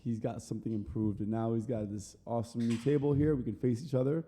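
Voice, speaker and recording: A man's voice: medium pitch, steady tone, fast talker, dark tone. A man hosting an interview podcast, chatty and self-deprecating, laughing often. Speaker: podcast host. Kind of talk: casual interview conversation.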